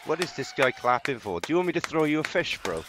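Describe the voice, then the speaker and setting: A man's voice: a little high, big pitch swings, fast. A man arguing in online game voice chat, mocking, salty and swearing freely. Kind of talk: heated banter in game voice chat. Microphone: gaming voice chat mic. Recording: very noisy game voice chat, clicking.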